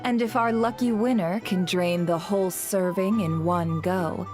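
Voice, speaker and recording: A woman's voice: fairly low pitch, fairly even intonation, over music, natural voice. Woman playing a poised, formal game hostess. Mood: composed, grand. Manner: formal, measured. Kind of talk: video game voice acting.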